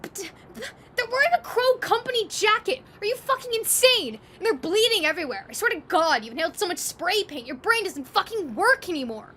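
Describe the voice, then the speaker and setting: A woman's voice: very high voice, very animated, brisk, sharp tone, a little hiss or hum, voice pushed up, full character voice. A woman voicing a cocky, sweary, hot-tempered punk boy in his late teens in an audio roleplay. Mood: furious, exasperated. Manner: brash, sweary, indignant.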